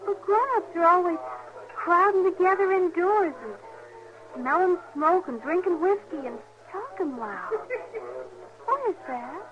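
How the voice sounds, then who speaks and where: A woman's voice: extremely high pitch, expressive, measured pace. An actress playing an innocent, earnest little frontier girl with a rural American accent in an old radio Western. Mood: wondering, wistful. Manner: earnest, artless. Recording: dull vintage radio recording with music.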